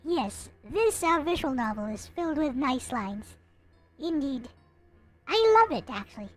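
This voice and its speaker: A woman streaming, chatting casually and reading a visual novel aloud in an expressive manner. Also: sits high, a little slow. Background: music.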